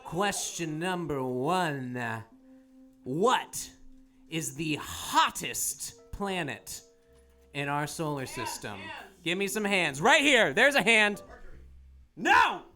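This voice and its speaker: Goofy male trivia host, bright-toned, live stage banter. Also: on the high side, animated, slow, faint music behind. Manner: goofy, jokey, loud asides. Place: small theater stage.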